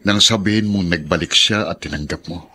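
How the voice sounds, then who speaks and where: A man's voice: deep voice, fairly quick, bright, forceful tone, breathy edge, full character voice. An actor with a Filipino accent playing a grave, weary middle-aged father in a radio drama. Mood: grave, resigned. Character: grave, weary father, burdened by family shame.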